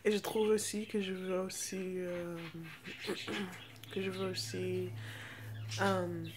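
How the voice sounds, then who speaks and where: Halting young American woman, low even voice. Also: noisy background. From United States. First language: English. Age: teens to young adult. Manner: halting, searching for words in a second language. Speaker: vlogger. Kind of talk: casual unscripted chat to camera.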